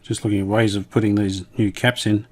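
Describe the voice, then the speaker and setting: A man with a fairly low voice presents a hands-on workshop demonstration. He talks casually and without hurry, in a matter-of-fact way, as if thinking aloud while he works. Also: level tone, fairly quick. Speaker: hobbyist radio restorer presenting his video.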